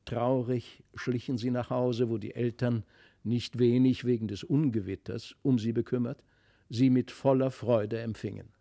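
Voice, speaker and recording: A male narrator reads literary fairy tales expressively. His voice is soft-edged and mellow at a middle pitch. He tells the story in a measured, dramatic way, recorded very dry and close. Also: steady tone, vocal fry, no room sound. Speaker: narrator, audiobook reader. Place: dry studio.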